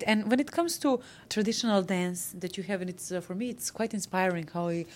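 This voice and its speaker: A woman's voice: mid-range voice, fairly quick, slight background noise. A woman hosting a podcast, reading a scripted opening and then asking questions in a polite, formal way. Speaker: podcast host.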